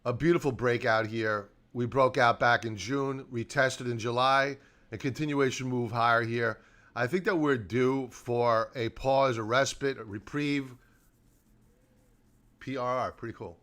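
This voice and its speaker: A man's voice: medium pitch, steady tone, a little slow, a bit bright. A man hosting a stock trading show, giving live chart commentary in an informal, emphatic way, repeating key points and answering his own questions. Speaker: stock trading show host and technical analyst.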